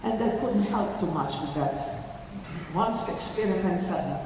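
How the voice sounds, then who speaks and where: Elderly woman, slow, echoey hall. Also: medium pitch, expressive. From Ukraine. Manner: halting, emotional, restarts phrases. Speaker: Holocaust survivor giving testimony. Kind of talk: personal testimony talk to an audience. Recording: echoey hall from far off with faint noise.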